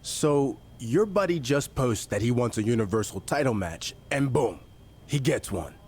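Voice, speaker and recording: A man's voice: mid-range voice, expressive, normal speed, a little mellow, breathy edge. A man playing a defiant, sarcastic wrestling champion who needles others in a video game scene. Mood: sarcastic, resentful. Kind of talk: scripted game dialogue.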